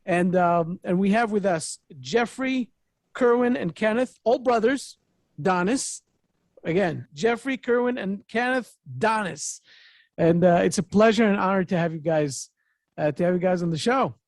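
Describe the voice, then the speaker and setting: A man's voice: sits high, measured pace, no echo. A middle-aged man hosting a podcast from a home office with a studio mic, talking eagerly with loose, repetitive phrasing. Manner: excitable, repetitive, non-native phrasing. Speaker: podcast host. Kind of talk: enthusiastic interview chat. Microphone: studio mic on boom arm.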